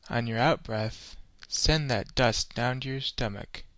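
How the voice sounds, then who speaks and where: Slow, measured man guiding meditation. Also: medium pitch, a bit bright, no echo. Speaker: meditation guide. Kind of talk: scripted guided meditation.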